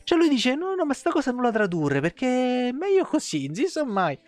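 A man's voice: extremely high pitch, wildly swooping pitch, normal speed, darkish tone, dry recording. A man, doing irreverent, sweary live game commentary and reading lore aloud with casual asides. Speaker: game streamer. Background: game music.

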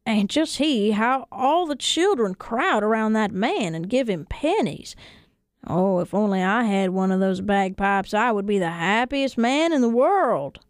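A woman voicing an excitable, naive, kindly married man in a folktale, earnest and exclamatory. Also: medium pitch, unhurried, dry recording, studio quality, close to an everyday voice. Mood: delighted, wistful.

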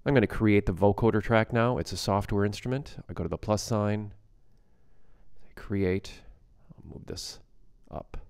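A man giving a casual software tutorial as a music production instructor, explaining plainly step by step. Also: lowish voice, a little slow, clear, resonant tone, no echo, studio quality.

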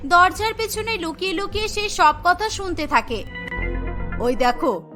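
A woman narrator with a very high, bright voice. She tells a scripted cartoon story over background music. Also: moderate pace.

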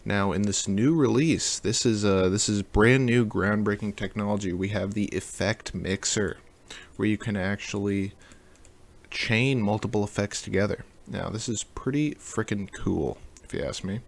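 A man narrating a casual software tutorial in a jokey, slangy way. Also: lowish voice, moderate pace, vocal fry. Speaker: tutorial host.

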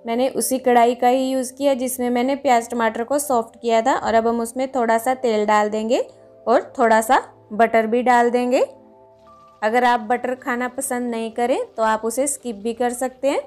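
A woman hosting a home cooking video, patiently explaining each step in a calm instructional voice-over. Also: a little high, normal speed, faint music behind. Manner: patient, explains each step, often says "dekhiye, lijiye". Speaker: cooking channel host.

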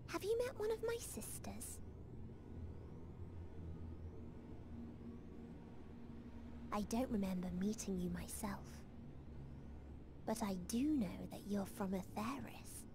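A woman voicing a young, otherworldly nihilist being in a video game, soft, detached and solemn. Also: medium pitch, background noise, natural voice. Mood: cold, bewildered. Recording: loud music behind voice.

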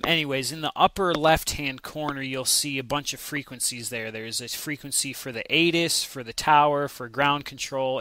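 A man's voice: mid-range voice, sharp tone. An American man narrating an instructional lesson in a conversational, explanatory way, carefully spelling out example radio calls. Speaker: flight simulation instructor.

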